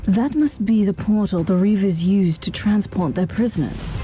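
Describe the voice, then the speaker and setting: A woman's voice: mid-range voice, moderate pace, dark tone, faint music behind, lightly acted. An actress playing a stern, zealous woman inquisitor in a video game. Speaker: inquisitor, player character.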